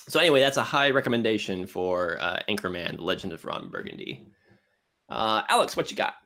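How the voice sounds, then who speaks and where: A man's voice: fairly low pitch, lively intonation, a little fast, sharp tone. A man co-hosting a casual film discussion, talking enthusiastically in a rambling way that halts mid-phrase. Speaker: podcast co-host reviewing films.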